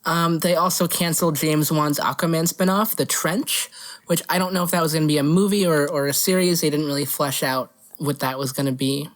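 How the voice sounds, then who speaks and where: A woman's voice: deep voice, fairly even intonation, fairly quick, rough recording. A woman co-hosting a podcast, chatting casually about movie news in a playful, teasing way. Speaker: podcast co-host.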